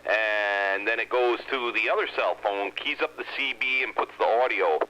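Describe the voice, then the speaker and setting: A man's voice: on the low side, moderate pace. A man hosting a CB radio club check-in, chatting casually with a wry, joking manner about radio gear. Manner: wry, joking, technical tinkerer. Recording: lo-fi with steady hiss.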